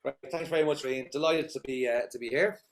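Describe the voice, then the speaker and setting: Middle-aged Irish founder, average pitch, meandering answers full of ums and uhs. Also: male voice, brisk. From Ireland. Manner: rambling, many fillers and restarts. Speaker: company founder, guest. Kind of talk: casual interview answers.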